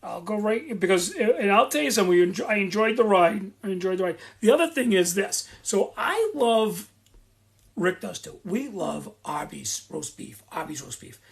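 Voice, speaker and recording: Chatty man with a gravelly voice. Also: sits high, animated, moderate pace. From Rhode Island. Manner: chatty, rambling with frequent restarts and asides. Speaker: host of a live Q and A. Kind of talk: rambling casual chat.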